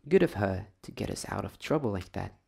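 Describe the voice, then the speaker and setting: A man voicing a grudging young schoolboy who grumbles in a low-key way in a storybook reading. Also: expressive, fairly quick, vocal fry, darkish tone, breathy edge, heavily acted. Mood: grumbling.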